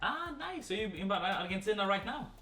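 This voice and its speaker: A man's voice: high voice, very animated, a little fast, bright, forceful tone. A man hosting a group webinar as a fitness coach, talking casually in an encouraging, effusive way. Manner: effusive, encouraging, informal hype. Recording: roomy, distant webinar audio with faint music.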